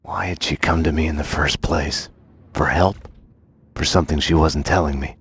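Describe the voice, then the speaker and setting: Very deep, brooding noir detective. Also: male voice, normal speed, breathy edge, full character voice. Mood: grim, brooding. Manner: terse, deadpan, sardonic. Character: brooding, world-weary hard-boiled male police detective. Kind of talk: noir scripted narration and game dialogue. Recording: music behind the voice.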